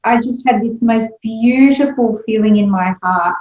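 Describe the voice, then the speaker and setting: A woman's voice: on the high side, measured pace. A young woman from Sri Lanka with an Australian accent, chatting easily as an interview guest and often laughing. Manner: relaxed, laughs easily, acts out her own past thoughts. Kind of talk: casual interview chat. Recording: echoey, dull video call audio.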